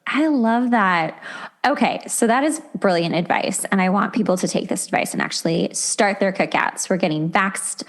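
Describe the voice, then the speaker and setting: A woman's voice: mid-range voice, normal speed. An enthusiastic woman in middle age hosts a casual interview podcast. She speaks in an effusive, conversational way, with an ordinary mid-range voice. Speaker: podcast host.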